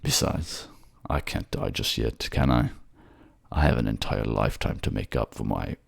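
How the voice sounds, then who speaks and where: A man voicing a gravely wounded, remorseful king who speaks gently and haltingly to a lover in an intimate fantasy audio drama. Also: very deep voice, no echo, clean studio sound, pitched below the performer's own voice, full character voice. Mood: devoted, earnest.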